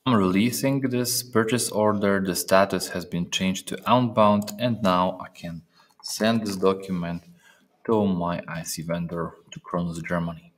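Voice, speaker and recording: A man narrating a step-by-step software training demonstration, speaking haltingly with pauses in mid-phrase. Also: low voice, fairly even intonation. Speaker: software trainer presenting a tutorial.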